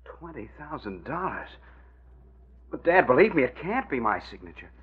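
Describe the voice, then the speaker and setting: A man's voice: medium pitch, sing-song, normal speed, slight background noise, natural voice. An actor playing an earnest young man of twenty-one in an old radio drama, pleading insistently with his father. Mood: protesting. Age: young adult. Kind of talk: 1940s radio acting. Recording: thin, phone-like old radio recording.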